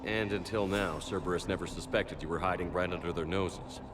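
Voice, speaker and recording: A man playing a decisive, steady military commander in a sci-fi video game, curt and businesslike. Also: low voice, brisk, background noise, natural voice. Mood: calm, businesslike.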